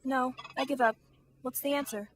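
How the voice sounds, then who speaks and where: A woman's voice: sits high, fairly even intonation, normal speed, a little hiss or hum, lightly acted. A woman playing a prim, inquisitive and outspoken young girl in an amateur audio drama. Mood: curious, prim. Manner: prim, questioning.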